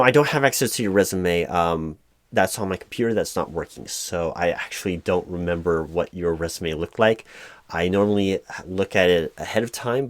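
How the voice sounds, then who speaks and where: A man playing a flustered, hapless corporate recruiting manager in a one-sided spoken roleplay, hesitant and stammering, with an awkwardly polite, monotonous delivery. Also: deep voice, close to an everyday voice. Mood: politely awkward. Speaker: job interviewer.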